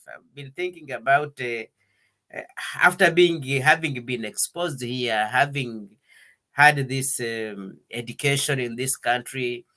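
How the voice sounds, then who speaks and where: A man hosting an interview, talking in a relaxed, halting way with many fillers and restarts, now and then slipping into French. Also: mid-range voice. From Congo. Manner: hesitant, many fillers and restarts, switches between English and French. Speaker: interview host. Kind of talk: conversational interview. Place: Denmark.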